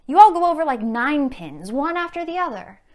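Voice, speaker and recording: A woman's voice: high voice, big pitch swings, a little fast, a little mellow, pitched above the performer's own voice. A woman playing a cunning, elegant society blackmailer in a period stage monologue, arch, mocking and theatrically emphatic. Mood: taunting menace.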